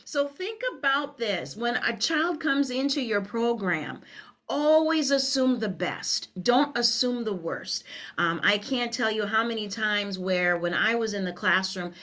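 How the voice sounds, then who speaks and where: A woman's voice: fairly high pitch, animated, measured pace, fairly bright tone. A middle-aged woman with a Liberian English accent, giving an earnest, conversational webinar presentation with many "you know" fillers. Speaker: workshop presenter.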